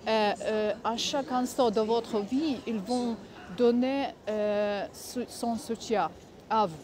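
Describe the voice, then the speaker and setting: A woman speaking French that is not her native language, giving casual advice in a vlog, halting often to search for words. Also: a little high, a crowd in the background. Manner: halting, many filler pauses, searching for words. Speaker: vlogger.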